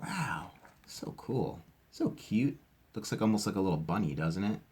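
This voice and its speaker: Deep-voiced man with lively swooping pitch. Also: normal speed, husky. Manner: enthusiastic, explains and asks the listener questions. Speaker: teacher reading aloud to children. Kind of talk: read-aloud of a picture book with casual asides.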